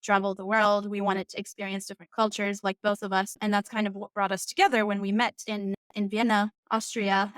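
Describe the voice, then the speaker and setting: A woman from Turkey whose first language is Turkish, a coach guest on a podcast, chatting casually and warmly with many fillers. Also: medium pitch, little pitch movement, a little fast, hardly any pauses, dry recording. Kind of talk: casual interview chat.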